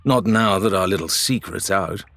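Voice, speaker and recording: A man's voice: sits low, moderate pace, gravelly, a bit bright. A man voicing a gloomy, sceptical pirate crewman in an audiobook, with a moody, dry manner.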